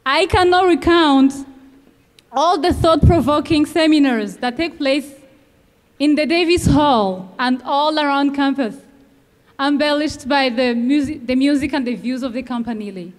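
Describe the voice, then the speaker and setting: Young woman from rwanda, high voice, slow earnest graduation speech, lots of echo. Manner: measured, earnest, emphatic pauses. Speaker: graduating student speaker. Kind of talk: commencement speech. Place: large outdoor ceremony venue. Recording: long reverb from a large venue.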